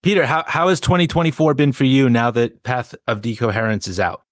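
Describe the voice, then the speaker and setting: A man's voice: mid-range voice, fairly even intonation, brisk, no echo, clean studio sound. A man interviewing a band, chatting casually and enthusiastically with plenty of compliments.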